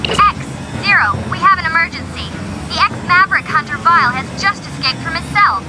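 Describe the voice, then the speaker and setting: An urgent, official-sounding dispatcher with a very high pitch. The anime dub voice has clear rumbling noise behind it. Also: female voice, lively intonation, brisk. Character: urgent female emergency dispatcher. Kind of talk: English anime dub acting.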